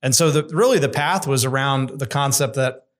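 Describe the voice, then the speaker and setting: Measured, candid man talking shop. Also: medium pitch. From United States. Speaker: construction executive guest. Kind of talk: conversational interview.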